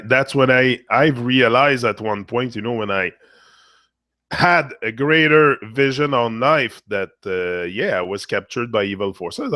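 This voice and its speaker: A man who is losing his voice, hosting a casual spiritual monologue and talking in a rambling, halting way with frequent fillers and repeated phrases. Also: mid-range voice, fairly bright tone, no room sound, studio quality. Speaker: motivational channel host.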